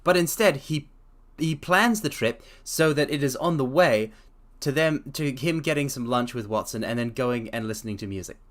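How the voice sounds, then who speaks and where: A man streaming a dramatic reading of a story, breaking off to chat excitedly, often stammering and restarting his sentences. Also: mid-range voice, moderate pace, few pauses.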